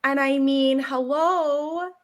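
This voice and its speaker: Animated American woman telling personal stories. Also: sits high, slow. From Seattle area, United States. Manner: animated, emphatic, jokey asides with acted-out quotes. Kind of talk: casual conversational storytelling.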